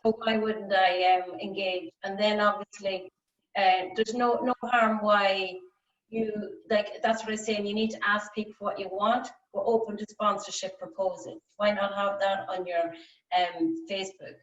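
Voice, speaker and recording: A woman's voice: mid-range voice, steady tone, measured pace. A woman talks through a workshop in a chatty, rambling way, full of ums and likes. She sits back from the mic in an echoey room. The video-call sound is dull. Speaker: workshop facilitator. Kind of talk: online workshop discussion. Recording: distant, roomy, lo-fi call audio.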